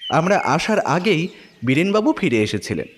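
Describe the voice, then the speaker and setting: Animated, higher-pitched male story narrator. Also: normal speed, long reverb tail, slight background noise. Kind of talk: dramatised first-person scripted narration.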